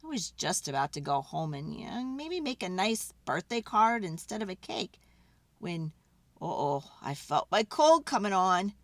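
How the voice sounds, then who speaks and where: A woman's voice: fairly low pitch, sing-song, measured pace, no echo. A woman voicing a folksy, mock-sincere wolf who pleads his innocence, in a conversational children's read-aloud style. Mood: indignant. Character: folksy, innocent-acting wolf telling his side, self-justifying.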